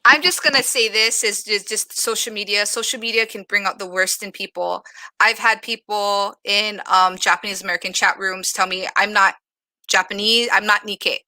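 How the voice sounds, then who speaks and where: Bright-voiced woman moderating a panel, dry sound. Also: mid-range voice, steady tone, normal speed, no room sound. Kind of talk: reflective panel discussion.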